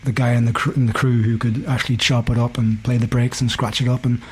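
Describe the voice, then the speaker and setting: A man's voice: mid-range voice, flat delivery, fast, husky. A man in his forties with a Belfast accent talks casually and candidly, telling stories and often tagging phrases with "you know". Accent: Belfast Northern Irish. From West Belfast, Northern Ireland. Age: 40s. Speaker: podcast guest, hip-hop DJ. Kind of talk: casual conversational storytelling.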